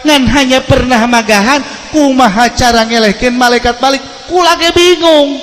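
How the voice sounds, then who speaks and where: A man's voice: extremely high pitch, moderate pace, a bit bright, a little hiss or hum. Reverberant male preacher telling a sermon story. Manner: theatrical, switching between narration and heightened quoted speech. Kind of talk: storytelling sermon to a congregation, acting out dialogue.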